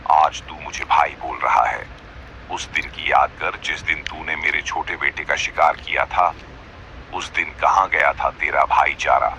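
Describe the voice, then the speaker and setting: Man acting a cartoon bear, very low voice, sharp and forceful, bitter, scolding, defiant, faint noise behind. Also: heavily acted, low-quality recording. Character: indignant, bitter male bear, a wronged father.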